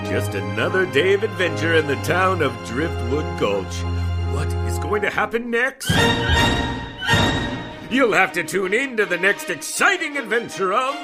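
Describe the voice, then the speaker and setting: Folksy adventure narrator, theatrical, animated, unhurried, drawn-out, music swelling behind. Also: male voice, high-pitched. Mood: jolly, teasing. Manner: drawn-out, theatrical serial sign-off delivery. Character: folksy, jolly male narrator of western adventure serial. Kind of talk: old-time serial narration for children.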